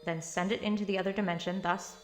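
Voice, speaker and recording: A woman's voice: medium pitch, steady tone, fast, close to an everyday voice. Woman playing a determined young woman, roomy sound. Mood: dry, resolute. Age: young adult. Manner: dry, pragmatic, slightly exasperated. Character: determined, matter-of-fact young woman on a secret family mission. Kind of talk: naturalistic audio drama acting. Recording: roomy echo with music behind.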